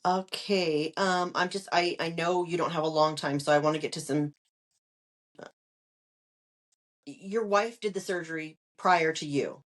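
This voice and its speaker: A woman's voice: sits low, measured pace, pauses often. A woman hosting an interview, asking questions and giving short supportive replies. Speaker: podcast host. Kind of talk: conversational interview.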